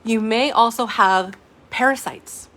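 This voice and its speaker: A woman's voice: a little high, takes it slowly, a bit bright. Chatty woman teaching a live class. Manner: casual and chatty, many fillers and asides to viewers. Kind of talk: live-stream teaching, reading chat comments.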